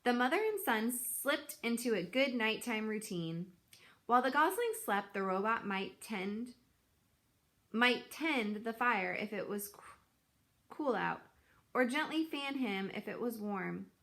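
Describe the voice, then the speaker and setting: A woman reading a children's book aloud in an expressive, deliberate, dramatic storytelling style. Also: a little high.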